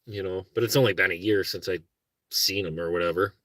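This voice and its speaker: A man's voice: low voice, normal speed, pushed and bright, no echo. A middle-aged man from Minnesota with a Minnesota accent, chatting casually and rambling to viewers from his car while car camping. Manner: rambling, self-deprecating, talks to viewers and his dog. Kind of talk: casual live chat.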